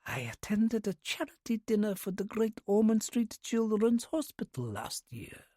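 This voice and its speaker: A narrator voicing a soft-spoken elderly Russian widow with a Russian accent, half-whispering, calm and shrewd. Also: mid-range voice, no room sound, studio quality, voice pushed up. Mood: gracious. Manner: quiet, slow and measured. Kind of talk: audiobook character voice.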